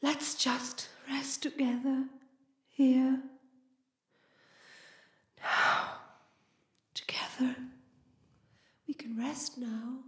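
Radio play: actress as haunted Victorian woman, very high voice, extremely slow and halting, fretful yet tender. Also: fairly even intonation, a bit bright, reverberant, full character voice. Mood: weary, tender. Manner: slow, halting, breathy gasps and whispers.

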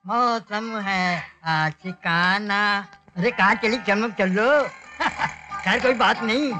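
A woman acts out a flirty man who croons lazily. He drawls very slowly. Clear music plays behind. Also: mid-range voice, bright, forceful tone.

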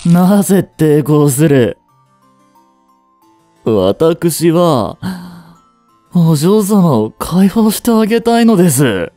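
執着心の強い、抑揚豊かな執事. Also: male voice, on the high side, big pitch swings, very slow, long pauses, dark tone, no room sound, soft background music, heavily acted. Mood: menacing, obsessive. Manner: formal, polite servant speech, intensely emotional. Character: devoted young male butler turning obsessive and unhinged. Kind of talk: Japanese romantic situation voice drama.

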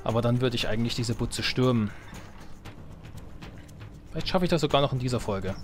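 A man talks quickly and colloquially, thinking out loud in a casual let's play. His pitch sits in a middle range, and game music plays clearly behind him. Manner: colloquial, slangy, thinking aloud. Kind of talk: casual gameplay commentary. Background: strategy game music and game sounds.

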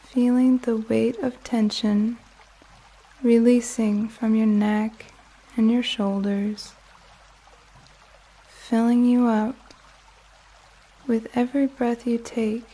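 Female meditation guide: soft and soothing, slightly high yet mellow, unhurried and calming delivery for sleep. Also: slightly rough. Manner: gentle, calming, unhurried with long pauses. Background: soft music and flowing water nature sounds.

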